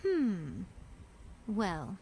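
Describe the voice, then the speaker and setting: A woman's voice: mid-range voice, sing-song, extremely slow, mellow, a little hiss or hum, heavily acted. A woman voicing a knowing, playful fox shrine maiden in a video game, speaking eloquently. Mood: explaining. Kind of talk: English video game voice acting. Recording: background music under dialogue.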